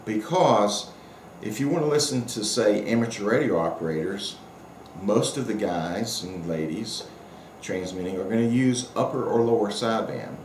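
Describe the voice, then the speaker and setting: A man's voice: on the low side, moderate pace, a little mellow. A middle-aged or older man giving a casual, rambling, unscripted product review, explaining things with many asides as an experienced hobbyist. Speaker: amateur radio operator reviewing a radio. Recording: roomy echo, faint background noise.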